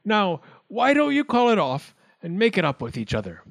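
A pompous storybook wildcat judge, acted out by a man, pitched high and swooping widely. He is nervous underneath but blusters formally to sound important. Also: sing-song, moderate pace, no echo. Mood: nervous, self-important.

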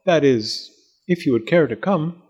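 A man's voice: fairly high pitch, expressive, a little mellow, breathy edge, dull audio, voice pushed up. A man voicing a polite, greedy giant moth. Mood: eager. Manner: slow, drawn-out and courteous.

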